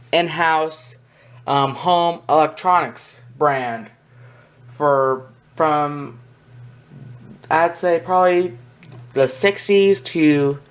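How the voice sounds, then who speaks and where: A man giving a casual, unscripted show-and-tell in a halting way, often pausing mid-sentence. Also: medium pitch. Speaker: hobbyist video presenter. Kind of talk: casual unscripted product demonstration. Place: indoor room with wooden shelves. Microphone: handheld camera's built-in mic. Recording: background noise, amateur handheld camera recording.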